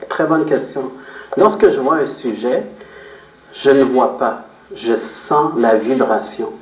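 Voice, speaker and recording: A man answering interview questions as a guest, explaining his outlook in a reflective, measured way and repeating phrases for emphasis. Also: medium pitch. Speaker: interview guest, photographer and business owner. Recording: roomy echo, distant microphone.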